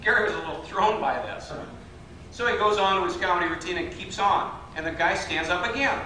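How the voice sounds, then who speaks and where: Guy running a workshop, kinda high voice, jokey, heard from far off in a big echoey room. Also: fairly quick, bright, forceful tone, slight background noise. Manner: playful storyteller, teases audience members. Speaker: workshop leader. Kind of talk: live workshop talk with jokes. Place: large hotel meeting room.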